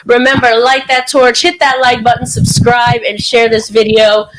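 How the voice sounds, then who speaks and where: A woman's voice: on the high side, little pitch movement, normal speed, noisy background. A young adult woman hosting a live call-in podcast, talking in upbeat, slangy banter. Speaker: podcast host. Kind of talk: live call-in show chat.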